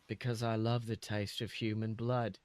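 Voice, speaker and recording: A man's voice: on the low side, flat delivery, normal speed, very noisy, pitched below the performer's own voice, lightly acted. A man voicing a shy, moody gothic teenage vampire boy in a bashful, brooding way. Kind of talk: mocking dramatic reading.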